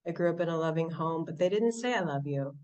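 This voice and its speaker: A woman from Southern California with an American accent, sharing her story reflectively at a recovery meeting, often pausing and restarting. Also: sits low, lively intonation, a little mellow, far from the mic, highs cut off. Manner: reflective, halting, many restarts and fillers. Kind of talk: personal recovery share. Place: online meeting from home.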